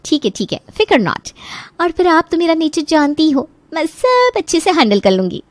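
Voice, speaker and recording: A woman playing a carefree, overconfident young daughter-in-law in an animated story, with a playful, breezy, cheeky manner. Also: high voice, normal speed, no room sound, voice pushed up. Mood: breezy, reassuring. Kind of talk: Hindi animated story voice acting.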